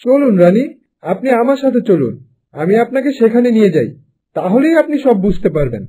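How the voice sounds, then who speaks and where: A man's voice: very high voice, big pitch swings, fairly quick, mellow, rough recording. A man playing a polite, grateful young horse master in a cartoon, with a courteous, earnest manner. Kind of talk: cartoon story dubbing.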